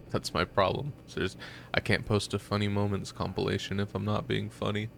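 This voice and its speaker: A man with a very deep, gravelly, nasal voice chats casually while streaming a game. He sounds stuffed up and sleepy, and he rambles with plenty of fillers. Faint noise hangs in the background. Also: normal speed. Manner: rambling, relaxed, many fillers. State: congested and just woke up. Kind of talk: casual gameplay commentary.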